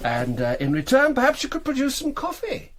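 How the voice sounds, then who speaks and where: An actor in a radio drama playing a brisk, wryly courteous but irritable German colonel in military intelligence, with clipped authority. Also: male voice, very high-pitched, huge pitch swings, slight background noise, full character voice. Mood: dry, businesslike.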